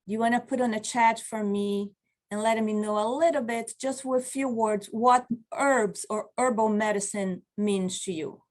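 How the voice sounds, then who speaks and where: Non-native english speaking woman, 40s, teaching over a webcam, really slow and earnest. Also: a little high, steady tone. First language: not English. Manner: slow, earnest, encouraging, reads out chat replies. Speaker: Oriental medicine practitioner teaching a course. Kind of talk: online continuing-education lecture. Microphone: video call webcam.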